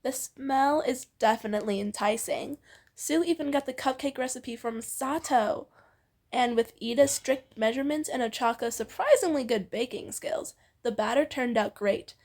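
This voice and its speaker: A woman's voice: high-pitched, moderate pace, a little mellow. A woman co-hosts a fan fiction reading, narrating playfully with giggly, teasing asides. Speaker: fan fiction reader and co-host. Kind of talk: playful co-read story narration with banter.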